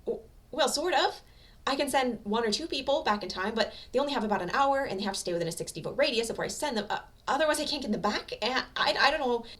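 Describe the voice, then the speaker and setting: Woman putting on a flustered, high-pitched office lady. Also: lively intonation, fast talker, fairly bright tone. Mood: flustered, nervous. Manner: stammering, hesitant, nervous. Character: flustered, dainty office woman, timid and apologetic.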